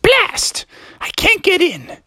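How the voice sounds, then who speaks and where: A woman's voice: very high-pitched, huge pitch swings, slow, noisy background, pitched above the performer's own voice, exaggerated voice. A woman voicing a young goblin villainess in a story, falsely sweet and gleefully menacing, with singsong taunts. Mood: furious. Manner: singsong taunting, shrieky cackling.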